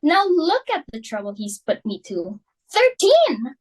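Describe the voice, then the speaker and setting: A young woman reading the part of an excitable, fashionable society matron hosting a dinner party, fussy and emphatic. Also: sits high, full character voice. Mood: fussing. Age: young adult. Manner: fussy, emphatic, quick to exclaim. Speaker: reader for Mrs. Pringle. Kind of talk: student dramatic reading.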